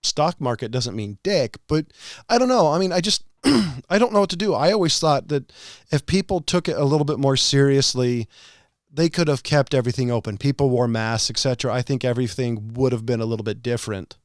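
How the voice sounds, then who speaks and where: A man's voice: medium pitch, fairly quick, no room sound, clean studio sound. A man from California co-hosting a podcast, arguing earnestly and posing questions in casual chat. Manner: argumentative, earnest, poses questions. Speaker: podcast co-host.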